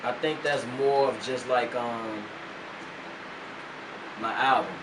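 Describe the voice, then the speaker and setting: A man's voice: medium pitch, steady tone, slow. A young man who is a rapper, talking as an interview guest in a loose, laid-back way with lots of slang and fillers. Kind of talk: casual interview chat. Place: radio studio. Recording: roomy, distant mic, noisy hum.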